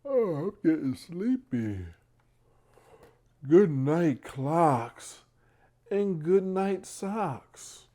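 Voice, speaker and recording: A man hosting a bedtime read-aloud for young children, speaking in a hushed, gentle way and talking directly to his little listeners. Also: fairly high pitch, very animated, drawn-out words, pauses often. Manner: addresses young listeners directly with questions and praise.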